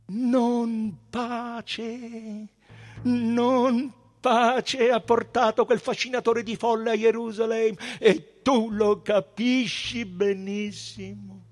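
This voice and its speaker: A man voicing a dignified, defiant high priest of Judea in a storybook, solemn and deliberate in manner. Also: flat delivery, faint music behind, heavily acted. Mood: fervent, indignant.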